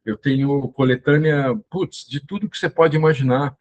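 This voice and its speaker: A man's voice: mid-range voice, fairly even intonation, normal speed, a little hiss or hum. A man in his fifties from the interior of São Paulo, hosting his channel and reminiscing in a rambling, casual monologue full of restarts. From Brazil, interior of São Paulo state. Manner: rambling, with many fillers and restarts. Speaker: channel host.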